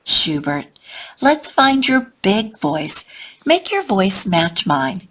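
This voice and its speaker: Lady doing a calm butterfly teacher, slow and firm, a little breathy. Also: mid-range voice, heavily acted. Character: gentle grown female butterfly teacher.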